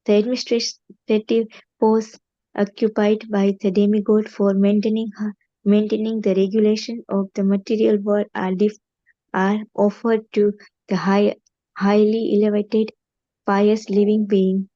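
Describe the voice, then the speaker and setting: A woman's voice: medium pitch, little pitch movement, measured pace, mellow, no room sound. A woman reading a translation aloud, hesitant and stumbling over long names. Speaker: student reader. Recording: dull, lo-fi sound.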